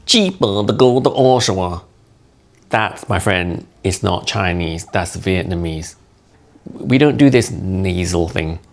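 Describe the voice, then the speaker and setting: A man's voice: low-pitched, slow pace, creaky. A man from Hong Kong, a content creator giving a blunt, mocking explainer to camera. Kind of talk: opinionated explainer talk to camera.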